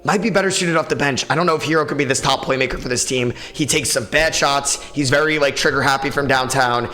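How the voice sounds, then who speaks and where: Man, young, raspy, tired, bright voice, faint music underneath, casual podcast talk. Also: mid-range voice, fast. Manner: casual, opinionated, many fillers. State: tired, short on sleep. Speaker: podcast host. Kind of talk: solo sports podcast commentary.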